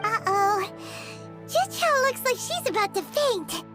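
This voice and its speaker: A woman voicing a tiny, childlike fairy companion in a video game, squeaky, inquisitive and excitable, over music. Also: slow, exaggerated voice. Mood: excited concern. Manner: exclamatory, inquisitive, refers to self by name. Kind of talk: English video game voice acting. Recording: jingly children's music behind.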